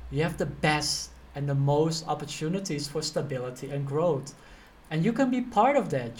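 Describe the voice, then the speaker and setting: A man's voice: on the high side, a little hiss or hum. A man with a Dutch accent speaking informally and directly as a career coach teaching a motivational online course. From Netherlands.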